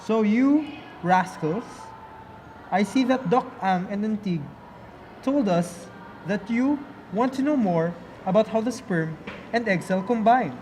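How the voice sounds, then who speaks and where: Man, high voice, slow scripted explainer, faintly noisy amateur recording. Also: expressive, a little mellow. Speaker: student narrator and presenter. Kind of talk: scripted narration in a biology puppet show.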